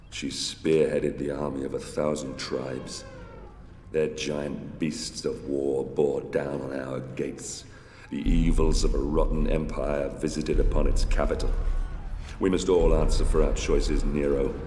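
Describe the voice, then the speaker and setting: A brooding Roman soldier, gives a solemn, vengeful game monologue. His voice is extremely deep and slow, weighty with portent. It rings in a long, hall-like reverb. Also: flat delivery, darkish tone, exaggerated voice. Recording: long hall reverb, faint background noise.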